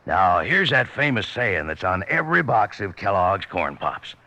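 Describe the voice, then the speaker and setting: A man giving a folksy, hearty advertising pitch in 1950s radio style. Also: big pitch swings, moderate pace, sharp tone. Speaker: sponsor pitchman.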